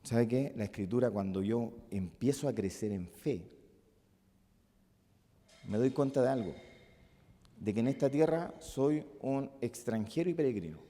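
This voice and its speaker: A male pastor with a Chilean accent preaching a sermon, moving between loud emphatic peaks and soft, musing asides. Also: mid-range voice, lively intonation, a little slow, pauses often. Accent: Chilean Spanish. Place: church hall. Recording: long reverb of a church hall.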